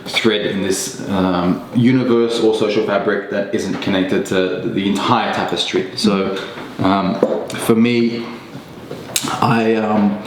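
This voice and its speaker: Very low, flat-voiced man. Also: takes it slowly. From Australia. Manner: thoughtful, many ums and restarts. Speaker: podcast guest. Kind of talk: casual reflective conversation. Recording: echoey room with faint rumble.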